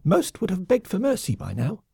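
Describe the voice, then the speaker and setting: A man's voice: huge pitch swings, vocal fry, mellow, breathy edge, dry recording, clean studio sound, exaggerated voice. A man voicing a furious, vicious male lemming colonel who shrieks and hisses his threats. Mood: scheming. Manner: shrill, hissing, drawn-out threats.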